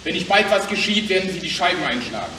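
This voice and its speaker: An indignant working man in a radio drama, teasing and blunt. His voice sits a bit high, in a roomy, noisy recording. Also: very animated, quick pace, pushed and bright, far from the mic, exaggerated voice. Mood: blunt urging. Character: cheeky, indignant working man speaking for bakery journeymen. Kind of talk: German radio play acting.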